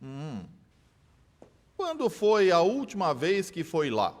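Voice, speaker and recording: An actor dubbing a sharp, persistent lawyer who cross-examines a witness with measured, theatrical questions. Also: male voice, fairly high pitch, wildly swooping pitch, in a large hall, exaggerated voice. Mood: probing. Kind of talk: dubbed film courtroom drama.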